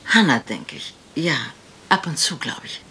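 Deep-voiced genteel older lady. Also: big pitch swings, a little slow, pushed and bright, a little hiss or hum, heavily acted. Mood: gentle, rambling. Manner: hesitant, genteel, rambling. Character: frail, genteel older lady, convalescent and wistful. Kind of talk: German radio crime drama acting.

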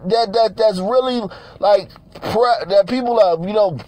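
High-voiced man ranting bluntly. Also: darkish tone, no room sound. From Nigeria. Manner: blunt, profane, repeats himself, laughs between points. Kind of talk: casual ranting commentary over pictures.

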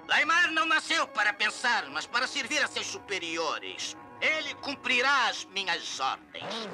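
A man's voice: sing-song, measured pace, sharp tone, soft background music, heavily acted. A man playing an arrogant, short-tempered skull-faced sorcerer in a dubbed cartoon, imperious and theatrical. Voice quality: shrill, creaky. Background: dramatic cartoon score.

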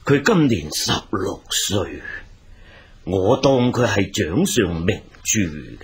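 A man's voice: on the low side, sing-song, slow pace, husky, full character voice. An actor playing an anxious, wealthy father in his fifties in a radio drama, sighing and hesitant, with a Hong Kong Cantonese accent. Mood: anxious, grieving. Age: 50s.

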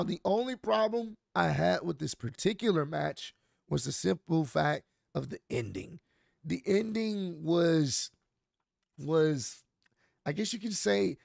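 A man in his thirties with a hoarse, worn-out voice, casually recapping a wrestling show for his channel from a home studio. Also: on the high side, no room sound. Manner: animated, talks with his hands, loose slangy delivery. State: hoarse, voice mostly gone. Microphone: large studio mic on boom arm. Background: soft instrumental music.

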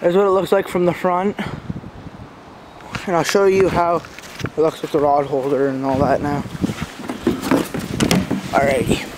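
A man giving a casual, unscripted walkthrough as an amateur reviewer, talking in a halting, informal way outdoors on a handheld phone. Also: fairly high pitch, flat delivery. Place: outdoors in a backyard. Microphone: handheld phone camera. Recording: outdoor phone recording with clear background noise. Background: passing cars.

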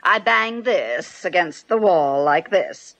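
An actress playing a cheerful, wisecracking hospital worker with a breezy, teasing manner in an old-time radio drama. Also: female voice, lowish voice, animated, takes it slowly. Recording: faintly noisy old radio recording.

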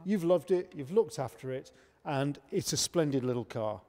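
A man's voice: fairly high pitch, expressive, moderate pace, darkish tone. A man interviewing a car owner in a showroom, enthusiastic and full of praise, often restarting his sentences. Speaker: interviewer, presenter. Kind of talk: casual interview. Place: large car showroom, indoors. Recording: echoey showroom hall.

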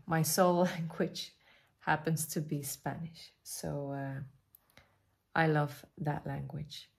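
A woman in her thirties speaks English with a Flemish accent, as a native Dutch speaker. Her voice sits a little low and her pace is very slow. She chats informally to the camera indoors, pausing hesitantly as she searches for words. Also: a little mellow. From Belgium. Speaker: vlogger showing her artwork. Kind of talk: casual chat to camera. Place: living room, indoors.

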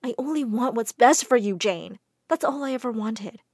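A woman's voice: on the high side, animated, normal speed, a little mellow, dry recording, studio quality. A woman voicing a strict, stern older widow who acts as a guardian and scolds in a firm tone. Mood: pleading.

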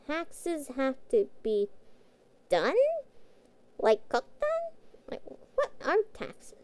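A woman's voice: sits high, wildly swooping pitch, extremely slow, darkish tone, slight background noise, full character voice. A woman playing a sweet, naive young catgirl pet in an audio roleplay, childlike, hesitant and full of innocent wonder. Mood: puzzled, innocent. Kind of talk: scripted audio roleplay acting.

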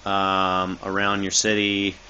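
A man giving a casual, rambling how-to talk in a halting, improvised way, recorded on a handheld phone indoors. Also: low voice, completely flat delivery, pushed and bright, muffled recording. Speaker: video maker, thrift reseller. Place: cluttered dim room indoors. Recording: noisy amateur phone recording.

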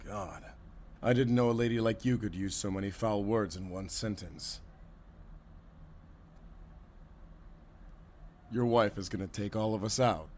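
A man playing a mocking, condescending, swaggering kidnapper henchman in an audio roleplay. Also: medium pitch. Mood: teasing. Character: taunting, cocky male kidnapper henchman guarding a captive.

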